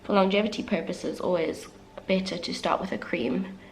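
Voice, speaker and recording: A woman's voice: medium pitch, steady tone, moderate pace, slight background noise, low-quality recording. Congested young woman chatting through makeup. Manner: chatty, candid, self-deprecating asides. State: congested, recovering from flu. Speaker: beauty vlogger. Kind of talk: casual makeup tutorial chat.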